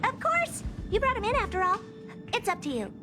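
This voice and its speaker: Really high girly genius, bossy and breezy, swoopy pitch, loud music under it. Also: sing-song, a little fast. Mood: airy, curious. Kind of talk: scripted game dialogue.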